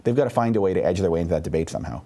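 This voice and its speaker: A man, a political columnist, giving quick, hedging analytical answers with frequent fillers, on a studio mic. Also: sits low, animated, warm tone. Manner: rapid, hedging, many fillers.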